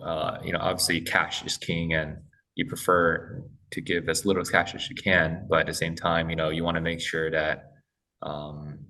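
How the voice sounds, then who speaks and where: A man speaking as a podcast guest, talking haltingly with many restarts and fillers. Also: a bass voice, bright, forceful tone, far from the mic. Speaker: guest, corporate development head. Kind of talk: casual podcast interview.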